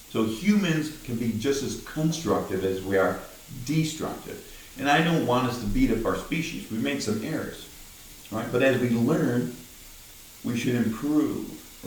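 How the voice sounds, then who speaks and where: An older man with a gruff voice who talks directly, rambling to camera in a casual vlog and often tagging on "right?". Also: fairly low pitch, a little mellow, very echoey, recorded from a distance, rough recording. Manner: rambling, many "right?" tags. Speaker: vlogger.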